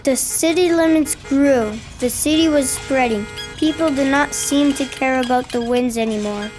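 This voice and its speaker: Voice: high voice, moderate pace, music in the background. A child of about nine or ten reading scripted storytelling narration in a school radio drama.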